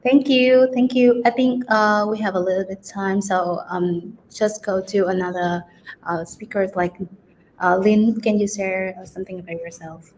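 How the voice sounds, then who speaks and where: A woman's voice: mid-range voice, steady tone, moderate pace, a little mellow. A woman hosting a virtual event, introducing speakers in a hesitant, informal way. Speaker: event host. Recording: noisy online call.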